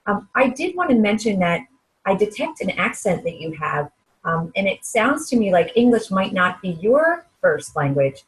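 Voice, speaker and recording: A woman's voice: medium pitch, sing-song, moderate pace, rough recording. Curious woman interviewer. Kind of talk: interview questions.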